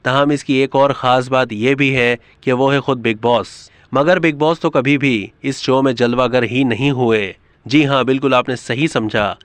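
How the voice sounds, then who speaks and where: Clear-voiced man narrating, dry studio sound. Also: medium pitch, fairly even intonation, unhurried, no echo. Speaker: narrator of an entertainment news video. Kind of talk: scripted narration.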